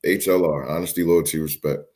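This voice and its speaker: A man's voice: extremely low pitch, fairly even intonation, fairly quick, darkish tone. A young man from Philadelphia, a company CEO as a guest, talking casually with hesitations and repeated words. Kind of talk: casual interview talk.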